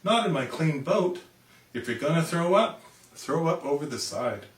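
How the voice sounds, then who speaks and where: A man voicing a bossy, easily alarmed father in an animated children's storybook reading. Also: on the high side, moderate pace. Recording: roomy, distant microphone.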